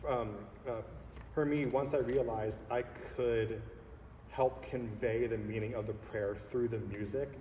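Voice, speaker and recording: A man in his thirties, a songleader talking earnestly and reflectively as a guest in a casual interview, pausing often to find his words. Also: medium pitch, fairly even intonation, mellow. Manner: earnest, reflective, halting with fillers. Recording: echoey hall with faint noise.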